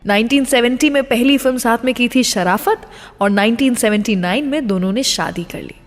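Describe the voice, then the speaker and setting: A woman's voice: on the high side, brisk. A woman with an Indian accent hosting a radio show, telling scripted stories and introducing songs in a dramatic, emphatic way. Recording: long reverb on the voice.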